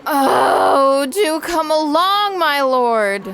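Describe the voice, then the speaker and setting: Drawling, whiny coquette. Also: female voice, sits high, animated, a bit bright, full character voice. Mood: bored pouting. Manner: whiny, drawn-out, cutesy. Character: whining, coquettish young female escort. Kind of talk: dramatised fantasy audiobook acting.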